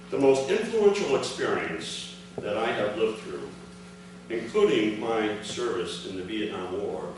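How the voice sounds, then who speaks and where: An older man reading a prepared speech aloud to a gathering in measured, separate phrases. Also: lowish voice. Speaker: speaker reading his father's account at an award ceremony. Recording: echoey, noisy hall recording from far off.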